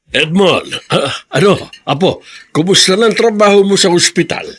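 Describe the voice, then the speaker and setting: A man's voice: sits high, expressive, normal speed, a bit bright, breathy edge, exaggerated voice. A Filipino man acting a fond old grandfather in a radio drama, with a hesitant, folksy, tender manner. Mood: fond, proud.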